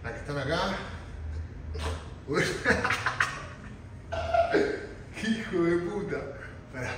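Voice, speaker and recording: Argentine gym vlogger, twenties, slangy and playful, picked up from afar in a loud echoey gym. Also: male voice, high-pitched, lively intonation, slow. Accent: Argentine Spanish. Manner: playful, slangy, talks while posing. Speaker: vlogger, bodybuilder. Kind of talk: casual vlog chat. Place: large indoor gym. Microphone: camera on a stand, from a distance. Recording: echoey gym from far off, background noise.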